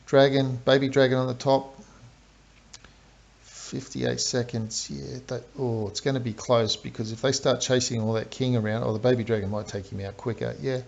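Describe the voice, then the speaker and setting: An Australian man talks through a live game stream, explaining his moves step by step in a relaxed, chatty way. His voice has a gravelly edge and sits at an ordinary pitch. The audio sounds dull and lo-fi. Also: normal speed.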